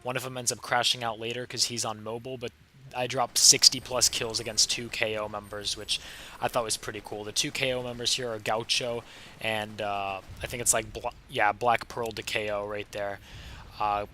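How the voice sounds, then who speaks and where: A young man with a slightly raspy, sick voice, casually commenting over his own gameplay footage with a chatty, laid-back manner. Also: on the low side, flat delivery, normal speed, pushed and bright, a little hiss or hum. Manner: casual, laid-back, chatty with frequent fillers and short laughs. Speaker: gaming channel host.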